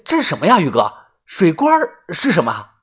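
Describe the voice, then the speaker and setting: A narrator voicing a brash, excitable young male tomb raider in an audiobook, blunt and urgent. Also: mid-range voice, moderate pace, breathy edge. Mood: urgent surprise. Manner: blunt, urgent, forceful questioning.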